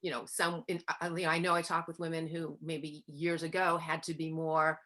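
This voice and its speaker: A woman with a Boston accent hosts the interview in a slightly lowish voice. She chats easily and agreeably, filling her sentences with "you know". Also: level tone, moderate pace, fairly bright tone. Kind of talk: conversational interview.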